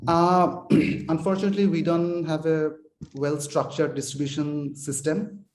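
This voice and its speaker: Slow, mellow man in a roomy hall. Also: on the high side, level tone, a little hiss or hum. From Bangladesh. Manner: halting, repeats words while searching for phrasing. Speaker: film director. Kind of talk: post-screening Q&A answers. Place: cinema hall.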